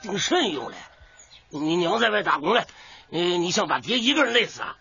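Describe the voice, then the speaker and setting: A man's voice: sits high, sing-song, fairly quick, creaky, bright, forceful tone, exaggerated voice. A man playing a stubborn peasant father in a radio drama, blunt and earthy. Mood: scolding. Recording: dull lo-fi recording, faint background noise.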